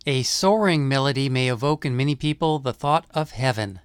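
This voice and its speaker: Man narrating a measured film-music essay. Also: mid-range voice, clear, resonant tone, dry recording, clean studio sound. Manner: measured, explanatory.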